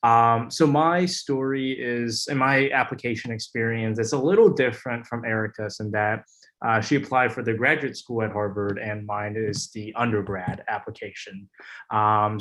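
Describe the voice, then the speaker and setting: A young man sharing his story as a webinar panelist over a video call, earnest and halting with frequent ums and uhs. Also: medium pitch, a bit bright, rough recording.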